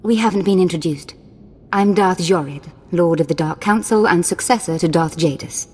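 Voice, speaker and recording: A woman's voice: on the low side, moderate pace, darkish tone, husky, long reverb tail. A woman voicing a playful, cruel young female Sith lord in a video game, with a mocking, theatrical, sing-song delivery. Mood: calm.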